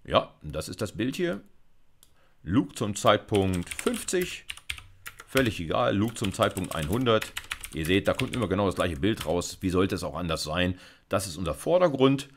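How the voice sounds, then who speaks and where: A man's voice: sits low, sing-song, normal speed, slight background noise. A man, a university lecturer thinking aloud informally as he explains live coding. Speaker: university computer science lecturer. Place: indoors, seated at a computer.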